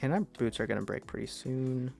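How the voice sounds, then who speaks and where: A young man with a deep voice chatting casually with his stream chat while he plays, rambling and self-deprecating, trailing off with fillers. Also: a little fast, creaky, a little mellow, faint music behind. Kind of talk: casual gameplay commentary to chat.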